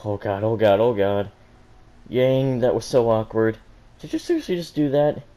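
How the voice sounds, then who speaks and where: A flustered teenage girl in a story, acted by a man, anxious and stammering. The voice is pushed up a little from a low, mellow base and blurts things out in bursts. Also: big pitch swings, moderate pace, close to an everyday voice. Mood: panicked, embarrassed.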